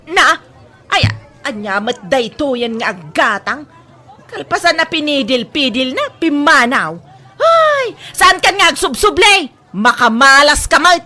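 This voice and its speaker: Casting: cranky elderly Ilocano market mother, high bright voice, melodramatic grumbler, radio comedy. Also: very animated, measured pace, a faint crowd, heavily acted. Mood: exasperated. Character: cranky, dramatic, complaining elderly mother who sells avocados. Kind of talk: broadcast comedy radio drama acting.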